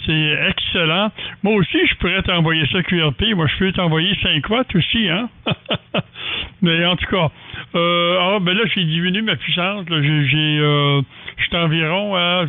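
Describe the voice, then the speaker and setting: A man's voice: on the high side, no room sound, phone-quality sound. Quebec man, thin dry radio sound. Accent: Quebec French. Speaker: amateur radio operator. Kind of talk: casual radio chat.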